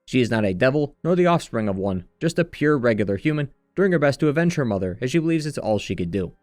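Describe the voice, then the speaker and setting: A man reading scripted narration in a measured, earnest way, like a video essayist walking through a story retrospective. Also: mid-range voice, sing-song, a little mellow, no room sound. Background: background music.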